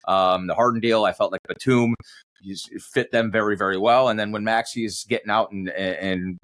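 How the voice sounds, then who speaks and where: A man's voice: on the low side, steady tone, normal speed, fairly bright tone. A man who works as a sports radio announcer, talking reflectively in a conversational interview and often circling back over his phrases.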